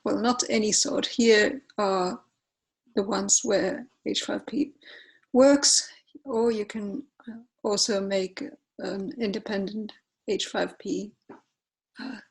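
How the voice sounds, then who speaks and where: A woman in her forties, a native Finnish speaker with a Finnish accent, walks through a software tutorial while sharing her screen, often pausing with fillers and correcting herself. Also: mid-range voice, slightly rough, low-quality recording. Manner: unhurried, hesitant, many fillers and self-corrections. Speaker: tutorial presenter.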